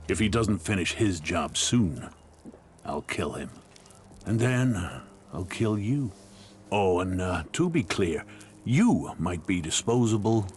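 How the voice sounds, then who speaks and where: A man's voice: low voice, slight background noise, exaggerated voice. A man playing a ruthless gang boss who rules a wasteland town, menacing, boastful and blunt, in a video game. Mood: threatening.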